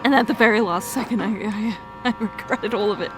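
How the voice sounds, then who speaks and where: A woman playing a distraught young fiancée who confesses in halting speech, often restarting her sentences. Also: medium pitch, over music, natural voice. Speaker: ASMR roleplay performer. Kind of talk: ASMR roleplay.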